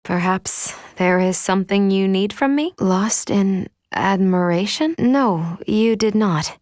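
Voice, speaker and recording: A woman playing a polite, earnest female android in a video game, speaking in formal, precise, measured phrases. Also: medium pitch, a little mellow, no room sound, clean studio sound, lightly acted. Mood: modest, surprised.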